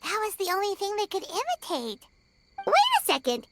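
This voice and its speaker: A woman's voice: very high-pitched, wildly swooping pitch, moderate pace, a bit bright, faint music behind, full character voice. A woman voicing a tiny, chirpy, self-important fairy companion in a video game. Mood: chirpy, indignant. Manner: talks of herself in third person.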